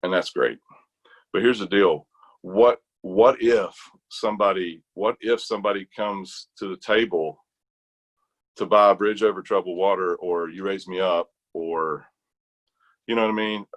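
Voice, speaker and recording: A man with an American accent and a low voice talks in an informal interview. He rambles a little, thinking aloud and restarting his phrases as he goes. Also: steady tone, a little slow. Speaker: interview guest, bass gospel quartet singer. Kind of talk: casual interview chat.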